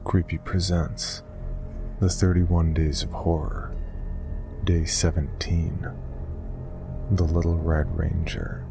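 A man's voice: low-pitched, level tone, takes it slowly, creaky, long pauses, music in the background. A man reading scripted announcements as a podcast host, close to the mic.

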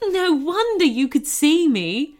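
A woman's voice: high voice, big pitch swings, slow, faint music behind, voice pushed up, exaggerated voice. A woman voicing a kindly, anxious female goblin with a folksy, polite way of talking, in a storybook tale. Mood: delighted, grateful.

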